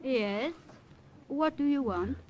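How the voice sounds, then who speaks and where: Intense high-voiced wartime underground woman. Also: animated, slow pace, lo-fi sound. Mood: guarded, curt. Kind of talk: old-time radio drama acting.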